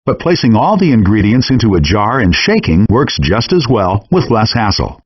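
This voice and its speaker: A chef guide in a cooking game, his voice lively and rising and falling freely. It has a husky edge and comes through as dull, lo-fi game audio. He lays out practical kitchen advice step by step, like a scripted instructor. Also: mid-range voice.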